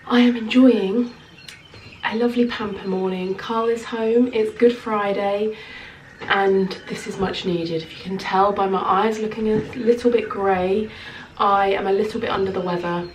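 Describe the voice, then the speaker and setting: A woman's voice: mid-range voice, steady tone, unhurried, a little hiss or hum. A woman in her thirties chatting to camera in a casual vlog, sounding slightly nasal from a cold. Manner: chatty, gestures with hands while talking. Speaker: skincare consultant vlogger. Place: small bedroom at home, indoors. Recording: roomy echo, slightly distant mic.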